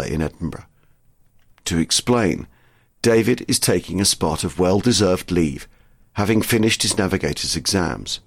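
A man's voice: low voice, husky, dry recording. A man presenting a podcast in scripted narration, introducing readings and giving credits.

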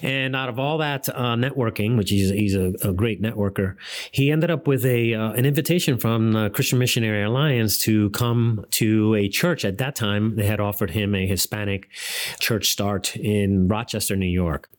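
A man in his fifties from Ecuador, a seminary vice president telling his life story in an interview, measured and full of fillers and restarts. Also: fairly low pitch. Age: 50s.

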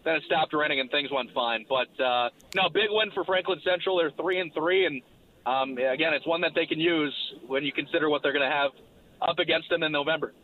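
A man, slightly hoarse, giving a brisk recap of a football game as its broadcaster. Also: on the high side. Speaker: play-by-play broadcaster. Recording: phone line.

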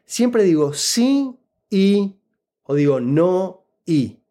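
A man with an Argentine Spanish accent and a fairly high, mellow voice. He speaks as an interview guest, telling a story in a very lively, swooping way. He explains things and keeps checking that the listener is following. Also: extremely slow. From Buenos Aires, Argentina. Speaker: interview guest giving job-interview advice. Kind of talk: casual conversational storytelling.

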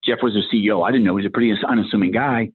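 Massachusetts guy, 50s, tech exec rambling thru his career, husky voice, sounds like a phone line. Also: lowish voice, very fast. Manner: rambling, acts out dialogue in anecdotes. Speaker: tech executive guest. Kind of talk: casual career storytelling.

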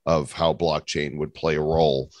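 A man's voice: a bass voice, flat delivery, moderate pace, no echo. A man hosting a casual podcast, asking long rambling questions with a joking edge.

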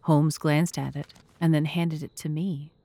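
A woman's voice: deep voice, little pitch movement, measured pace, warm tone, no echo. A woman narrating in a scripted, audiobook style as the host of an audio drama.

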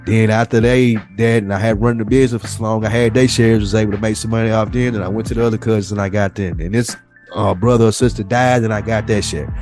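Man, lower-pitched, slangy storyteller, casual podcast chat, light music underneath. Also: fairly even intonation, fast, a little mellow. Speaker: podcast co-host. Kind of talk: casual conversation.

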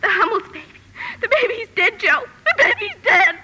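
A woman's voice: very high-pitched, very animated, moderate pace, bright, forceful tone, full character voice. A woman acting a shy, sweet young girl in a 1950s radio drama, speaking in a hesitant, tremulous way. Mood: distressed, tearful. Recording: vintage radio recording with music.

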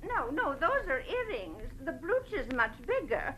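Anxious, genteel woman: high, highly animated, hesitant and melodramatic, faint old-recording noise. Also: unhurried, exaggerated voice. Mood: anxious, helpful. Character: distraught, genteel, anxious woman friend of victim. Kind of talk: 1940s radio drama acting.

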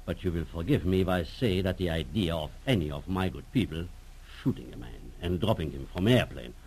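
Low-voiced suave old professor villain. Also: male voice, expressive, a little mellow, a little hiss or hum, low-quality recording. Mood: feigned innocence. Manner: over-polite, oily, drawn-out hesitant phrasing. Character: suave elderly professor, falsely genial villain, faintly mocking. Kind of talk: 1940s radio drama acting.

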